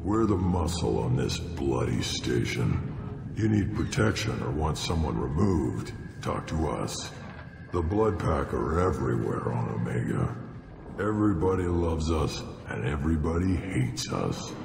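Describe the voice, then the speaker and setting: An actor playing a gruff, boastful, brutish alien mercenary leader in a video game, curt and menacing in a gravelly growl. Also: male voice, a bass voice, very animated, a little slow, heavily acted. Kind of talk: video game character acting. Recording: echoey hall reverb, music behind. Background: game music.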